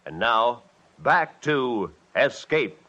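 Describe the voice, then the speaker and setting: A man's voice: mid-range voice, very animated, very slow, dull audio, very noisy. A man announcing on 1950s radio, reading a scripted public service message in formal broadcast style.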